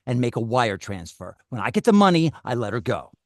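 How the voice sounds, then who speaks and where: Male kidnapper read by a man, menacing and foul-mouthed, animated intonation, medium pitch. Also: fairly quick, no room sound, clean studio sound, lightly acted. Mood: commanding, threatening. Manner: blunt, threatening. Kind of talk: script table read. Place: video call.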